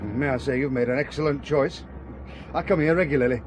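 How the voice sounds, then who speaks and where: A man's voice: mid-range voice, a little fast, background noise, close to an everyday voice. A man playing a wealthy, urbane manufacturer and racing driver in an audio drama, hearty with old-boy phrasing. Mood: urbane guiding.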